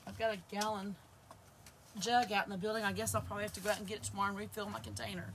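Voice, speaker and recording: A woman in her fifties with a hoarse voice, chatting to viewers as she works through a live craft project in her craft room. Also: mid-range voice, level tone, very fast, fairly bright tone, a little hiss or hum. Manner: chatty, greets viewers while working with her hands. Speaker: craft channel host. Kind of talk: live crafting stream chat. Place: indoor craft room with shelves. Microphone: camera facing her across the table.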